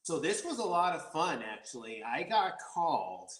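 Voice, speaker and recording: A man telling stories as a guest actor in a casual interview, rambling and energetic with many restarts. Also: a little high, moderate pace. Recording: roomy echo.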